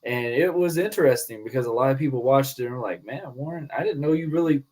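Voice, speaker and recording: A man's voice: mid-range voice, quick pace, a little mellow. A man hosting a podcast in casual chat, easygoing banter with frequent laughs. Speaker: podcast host.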